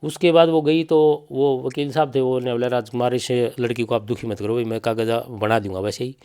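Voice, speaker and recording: A man's voice: mid-range voice, fairly even intonation, fairly quick, mellow, clean tone. A middle-aged man with a Haryanvi accent gives spoken testimony in an interview, telling it insistently, with repetition and reported speech. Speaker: bereaved father giving an interview.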